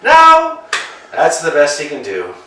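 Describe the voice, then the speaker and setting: A man's voice: fairly high pitch, wildly swooping pitch, slow, sharp tone, slight background noise, full character voice. A man playing a pompous, overbearing master who barks commands at his servant, in a staged play reading. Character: pompous overbearing master, middle-aged man, barking orders. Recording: echoey room, distant mic.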